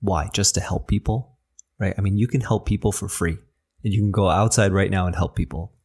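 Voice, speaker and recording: A man's voice: sits low, fairly even intonation, brisk, a little mellow, husky. A man in his thirties from New hosting a podcast, speaking with earnest encouragement straight to the listener. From New Jersey, raised in New York. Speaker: podcast host and acupuncturist. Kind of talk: motivational podcast talk.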